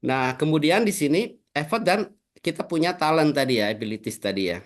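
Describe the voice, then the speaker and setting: A man's voice: on the high side, expressive, a little fast. Chatty male webinar speaker. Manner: chatty, many fillers like gitu ya. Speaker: invited speaker. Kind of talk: casual talk in a webinar.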